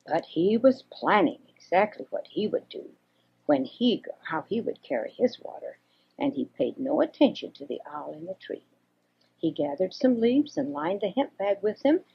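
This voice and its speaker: An older woman reads a children's story expressively, with a husky voice, on a homemade cassette. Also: mid-range voice. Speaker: storyteller reading for children and grandchildren. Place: home recording. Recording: dull homemade 1980s cassette recording.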